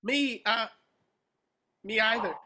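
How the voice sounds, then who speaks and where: A performer playing an excitable, childlike male sea sponge in a cartoon table reading, gushing and pleading. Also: mid-range voice, slow, a crowd in the background, natural voice. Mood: guilty, pleading.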